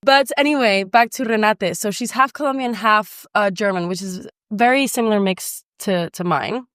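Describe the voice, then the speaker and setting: A woman's voice: mid-range voice, moderate pace, a bit bright, no echo, clean studio sound. A young woman from Spain hosting a podcast, chatting casually and asking curious follow-up questions. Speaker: podcast host. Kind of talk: casual conversational interview.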